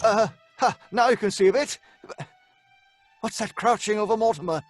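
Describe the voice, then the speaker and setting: An actor playing a jittery, easily alarmed gentleman guest at a séance in an old-fashioned radio horror drama. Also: sits high, normal speed, dry recording, soft background music.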